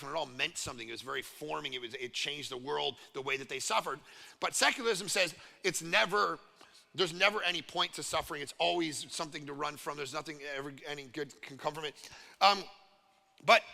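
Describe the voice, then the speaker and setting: A man from Canada preaching a sermon as pastor, conversational and animated, with many asides to the congregation. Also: fairly high pitch, creaky. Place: large church hall. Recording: long reverb of a large church hall.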